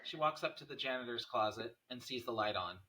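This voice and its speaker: A man's voice: medium pitch, lively intonation, brisk, sharp tone, low-quality recording. A man reading stage directions aloud as narrator of a screenplay table read.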